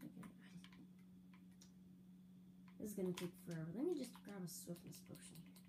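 A young woman casually narrating a building game with giggles and fillers, her voice a little raspy. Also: on the low side, sing-song, rapid-fire. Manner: chatty, giggly, rambling with fillers. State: slightly raspy, throat-clearing. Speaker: gaming video creator. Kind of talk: casual let's-play building commentary. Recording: audible hum behind voice.

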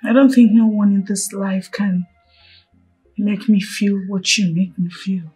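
An actress playing an adoring, loyal, emotional wife in a film drama. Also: female voice, mid-range voice, fairly even intonation, measured pace, mellow, husky, rough recording. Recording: music behind the voice.

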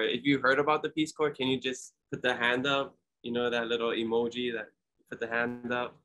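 A young man talks in a relaxed, easygoing way, often restarting his sentences. His voice is bright and clear at a middle pitch. The sound is from a webcam on a video call. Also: rough recording. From Hawaii. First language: Hawaiian. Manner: easygoing, restarts often, asks the audience questions. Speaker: returned volunteer speaker. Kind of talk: casual presentation on a video call.

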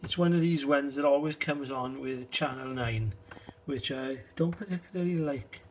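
A middle-aged British man giving a casual, unhurried and low-key hobbyist demonstration in a conversational way. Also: a little hiss or hum. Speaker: hobbyist video host. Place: small indoor space, shed.

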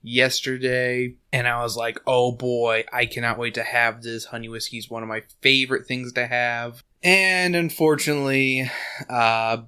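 A slightly hoarse man hosting a casual book podcast, rambling with lots of fillers and laughing often, recorded on a desk mic at home. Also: mid-range voice, fairly even intonation, moderate pace, sharp tone. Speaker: podcast host. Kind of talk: casual chat and book recap. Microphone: desk condenser mic.

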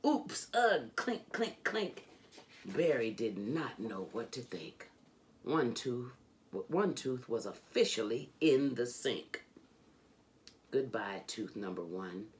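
A middle-aged woman reading a children's book aloud in an expressive, deliberate, emphatic storytelling manner. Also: very deep voice. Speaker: storybook reader. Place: indoors, furnished home room.

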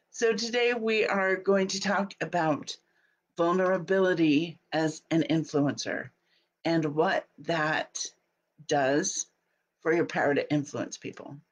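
A woman in her thirties hosting a casual live video from her home office, sounding stuffy and scratchy from a cold, chatty and quick to laugh. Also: fairly low pitch. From Utah. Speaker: host. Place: home office, small room indoors. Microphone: webcam at desk.

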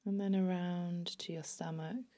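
A woman leads a guided meditation in a slightly low, very mellow voice. She speaks very slowly and soothingly, with phrases that settle into a hush. The delivery is unhurried and gentle throughout. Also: fairly even intonation. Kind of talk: guided body scan meditation narration.

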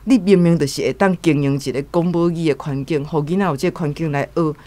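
A woman's voice: deep voice, fast talker, mellow, no echo. A middle-aged woman with a Taiwanese accent giving earnest, admonishing commentary as a guest. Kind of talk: reflective spoken commentary.

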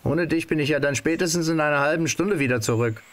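A cheeky, always hungry boy adventurer acted by a man in an audio drama. The voice is high and youthful, with a teasing, defensive manner. There is noise behind it. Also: quick pace, lightly acted.

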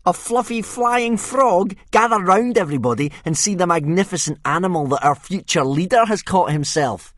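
A man voicing a boastful, bullying Viking boy with a high, shrill, mocking voice. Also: normal speed, hardly any pauses, a bit bright, no room sound, clean studio sound.

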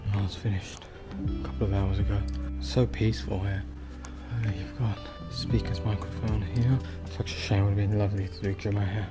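A man's voice: lowish voice, flat delivery, fairly quick. A man in his thirties chatting casually and admiringly as a travel vlogger, recorded outdoors on a handheld camera. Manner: admiring, repetitive exclamations. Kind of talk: casual travel vlog chat. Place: outdoors at a mountain mosque. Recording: loud music under the voice. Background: loud music.

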